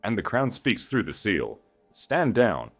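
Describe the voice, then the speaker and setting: A man voicing a gruff, unyielding veteran royal guard captain with a rough delivery in an audiobook. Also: fairly low pitch, big pitch swings, a little slow, faint music behind, pitched above the performer's own voice, heavily acted. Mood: defiant. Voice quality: rough, gritty.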